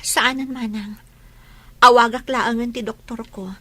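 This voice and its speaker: A woman playing a hurt, long-suffering wealthy wife in an Ilocano audio drama, with a husky voice. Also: a little high, fairly even intonation, a little slow, bright, forceful tone, no echo, full character voice. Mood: weary, subdued.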